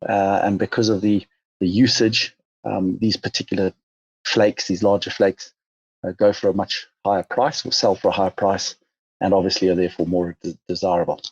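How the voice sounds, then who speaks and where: Husky Zimbabwean man, measured business talk. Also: sits low, level tone, dry recording, lo-fi sound. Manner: measured, many fillers and restarts. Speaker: mining company chief executive. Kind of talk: business interview.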